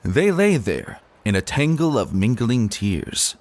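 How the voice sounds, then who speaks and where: A man narrating a story as a dramatic reading, expressive and deliberate in his delivery. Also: mid-range voice, dry recording.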